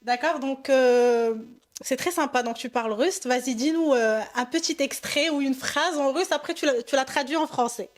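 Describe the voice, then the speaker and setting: A woman with a Moroccan accent hosting a podcast interview, mixing French and Moroccan Arabic. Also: high-pitched. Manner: switches between French and Darija, quick intro. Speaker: podcast host.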